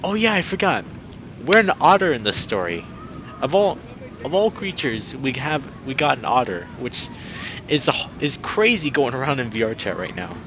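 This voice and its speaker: A young man with a mid-range voice hosts a casual game stream over a clearly noisy background. He chats to viewers with hesitant asides and reads story text aloud as he goes. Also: medium pitch, sing-song, normal speed, a bit bright, highs cut off. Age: young adult. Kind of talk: casual let's play, reading a visual novel aloud.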